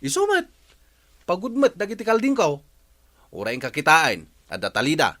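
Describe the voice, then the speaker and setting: An actor playing a stubborn, evasive village goat owner in an Ilocano radio comedy drama. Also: male voice, on the high side, huge pitch swings, moderate pace, fairly bright tone, full character voice.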